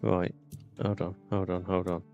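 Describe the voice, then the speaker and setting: A man with a low voice commentates casually on a video game. He chats and thinks aloud as he plays, with faint game music behind him. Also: level tone, normal speed, vocal fry, highs cut off. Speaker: gaming YouTuber.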